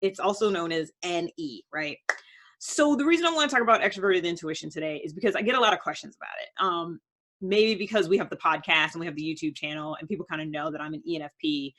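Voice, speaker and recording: A woman's voice: fairly low pitch, fast, fairly bright tone. A woman hosting a show, explaining ideas in a casual monologue, thinking aloud in informal language. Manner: informal, self-referential, thinking aloud with examples. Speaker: host of a personality-typing show.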